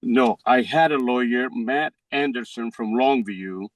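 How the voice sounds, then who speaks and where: A man in his sixties with a Greek accent, pleading his case in court in halting second-language English. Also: medium pitch, dry recording, low-quality recording. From Greece. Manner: halting, non-native phrasing. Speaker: defendant in a civil case.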